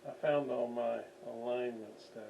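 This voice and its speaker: A man giving an unscripted hands-on demonstration at a workbench, halting as he thinks aloud while he works. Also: mid-range voice, fairly even intonation, vocal fry, muffled recording. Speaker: radio restoration hobbyist, demonstrator. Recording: distant mic with faint background noise.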